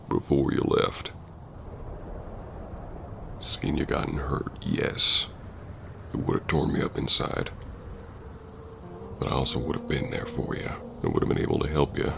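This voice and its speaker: A man playing a stern, hurt Old West bounty hunter confronting an old flame in an ASMR roleplay, brooding and halting yet tender. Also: very animated, background noise, full character voice. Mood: wounded, pressing. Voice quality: very deep, gravelly drawling voice. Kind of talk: ASMR roleplay acting, spoken to the listener.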